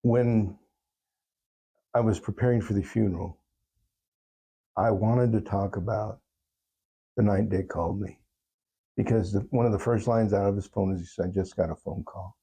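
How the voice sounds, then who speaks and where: A man speaking as an interview guest, telling personal stories in a reflective, halting and earnest way. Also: lowish voice, fairly even intonation, dark tone, husky. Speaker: talk show guest, songwriter.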